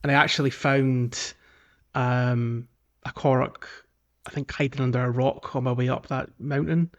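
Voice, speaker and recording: A man's voice: medium pitch, fairly even intonation, normal speed. Dull-sounding recording of a man chatting. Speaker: podcast co-host. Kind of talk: casual game discussion. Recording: dull, band-limited voice recording.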